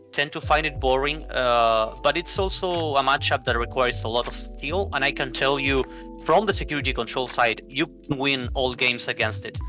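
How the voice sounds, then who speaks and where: Bright-voiced Argentine-born man, easygoing. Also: medium pitch. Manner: easygoing, restarts often, many likes and rights. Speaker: interview guest, card game player. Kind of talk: casual chat.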